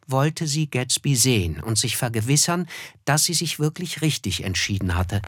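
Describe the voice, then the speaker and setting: An actor playing a reflective, melancholy narrator who looks back, telling a literary radio drama in measured, thoughtful phrases. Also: male voice, mid-range voice, very animated, a bit bright, breathy edge, dry recording, studio quality.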